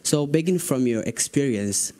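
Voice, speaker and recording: A man's voice: mid-range voice, animated, moderate pace, warm tone. A young man from Somalia, a youth leader putting a long, earnest question to a panel. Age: young adult. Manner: earnest, long flowing sentences.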